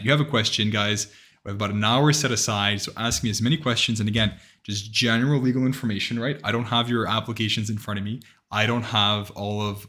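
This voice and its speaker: A man's voice: fairly low pitch, fairly bright tone. A man in his thirties, an immigration lawyer, answering viewer questions in a conversational live Q&A and explaining things step by step with frequent restarts. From Canada.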